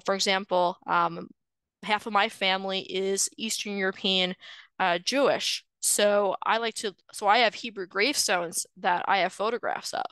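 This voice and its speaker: Bright, clear woman lecturing on genealogy. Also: medium pitch, no echo. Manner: explanatory, friendly, with frequent um and uh fillers. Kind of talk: screen-share webinar lecture. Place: indoors, voice over shared slides.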